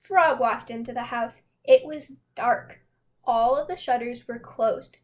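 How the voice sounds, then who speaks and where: High-voiced woman reading a children's story. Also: measured pace. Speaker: storybook reader. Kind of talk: scripted children's story narration.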